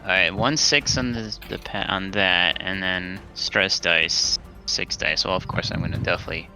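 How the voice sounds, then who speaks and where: A man playing a tabletop game, chatting casually in a halting, hesitant way. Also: sits low. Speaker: player. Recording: music behind the voice.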